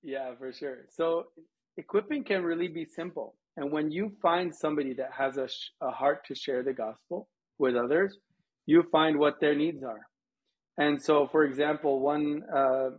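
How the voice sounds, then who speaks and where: A man's voice: on the high side, breathy edge, phone-quality sound. An American man from Colorado answering interview questions conversationally, with anecdotes, as a guest from a missions organization. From United States, Colorado.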